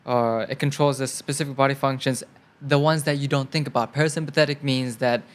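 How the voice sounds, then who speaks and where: Young thai guy chatting in english, laid back, lots of "like" fillers, mid pitch. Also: fairly quick. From Thailand. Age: young adult. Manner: relaxed and chatty, thinks aloud with many fillers. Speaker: guest actor. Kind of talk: casual interview answers.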